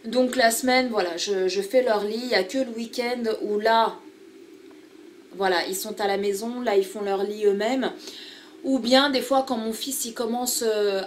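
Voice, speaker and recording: A woman's voice: medium pitch, a little hiss or hum. A woman in her thirties with a French accent, chatting casually to her viewers as she shows her small living room, rambling with lots of fillers. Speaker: vlogger. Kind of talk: casual home vlog chat.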